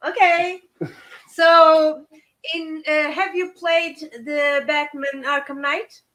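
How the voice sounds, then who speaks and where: A woman asking casual questions in halting, hesitant English. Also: high voice, flat delivery, a bit bright, rough recording.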